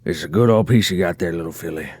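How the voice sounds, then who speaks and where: Deep, folksy, protective pony pal played by a man. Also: quick pace, husky. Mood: advising, fond. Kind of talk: audio drama dialogue.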